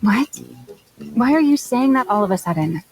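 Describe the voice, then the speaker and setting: A woman's voice: a little high, lively intonation, moderate pace, faint music behind, low-quality recording. A woman playing a blunt, exasperated young mechanic in a video game, speaking in a dry, scolding manner.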